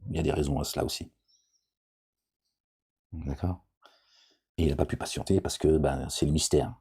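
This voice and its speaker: Very low, gravelly, husky man. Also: fairly even intonation, moderate pace, dark tone. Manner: digressive, asks "d'accord?" often, jokey asides. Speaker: religious commentator. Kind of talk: informal Quran commentary, rambling.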